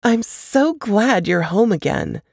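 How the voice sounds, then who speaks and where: Woman reading as a caring, lively-voiced older sister. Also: medium pitch, slow pace, gravelly, no room sound, studio quality, voice pushed up, heavily acted. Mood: protective. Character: caring, practical older sister, homely and protective.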